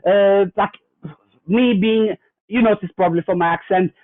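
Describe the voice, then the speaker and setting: A high-pitched man from Germany speaks as a guest in a fireside chat. He explains earnestly, often pausing on fillers and restarting his sentences. His voice comes through a tinny, phone-like remote line with a dry sound. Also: no echo. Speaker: guest cybersecurity executive.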